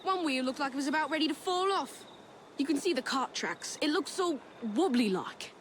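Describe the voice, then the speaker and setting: A plucky high-voiced street child. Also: female voice, lively intonation, moderate pace, slight background noise. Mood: proud, eager. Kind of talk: video game cutscene acting.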